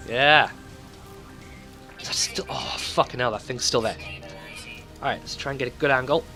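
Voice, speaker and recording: A man's voice: mid-range voice, moderate pace, vocal fry, over music. A man hosting a let's play with casual, rambling gameplay commentary and loose swearing.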